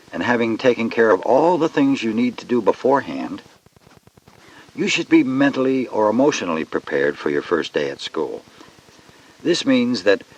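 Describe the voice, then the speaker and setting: Guy narrating an educational tape, kinda low voice, really animated, explains everything carefully. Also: rough recording.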